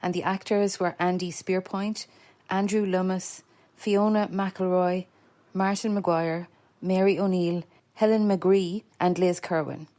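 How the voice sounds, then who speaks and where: A woman's voice: on the low side, fairly even intonation, measured pace, mellow. A woman with an Irish accent, talking casually as a podcast host. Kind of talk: casual interview hosting.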